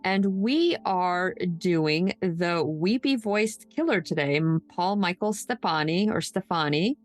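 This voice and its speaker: A woman's voice: fairly low pitch, expressive, slow, faint music behind. A woman hosting a true crime podcast in a casual, loosely unscripted, conversational way. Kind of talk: casual co-hosted podcast chat.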